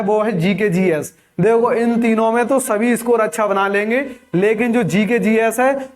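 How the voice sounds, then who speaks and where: A man giving an instructive exam-guidance lesson, addressing viewers as friends and repeating key numbers. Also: high voice. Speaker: exam coaching teacher. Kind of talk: explanatory exam guidance lecture.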